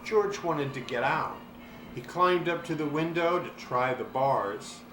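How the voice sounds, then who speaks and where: A man reading a children's storybook aloud with expressive, dramatic delivery and drawn-out words. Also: a little high, over music. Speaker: story reader. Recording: roomy echo, clear background noise.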